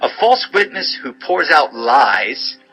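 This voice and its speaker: A man's voice: medium pitch, very animated, slow pace, bright, forceful tone, faint music behind. A man giving a solemn, fatherly dramatized reading of scripture as narrator, instructing as he goes.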